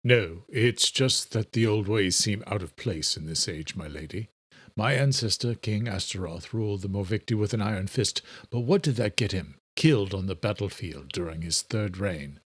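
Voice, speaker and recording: A man voicing a grave, courteous vampire king, formal and soft-spoken, in a horror audiobook. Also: on the low side, normal speed, breathy edge, no echo. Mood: pensive.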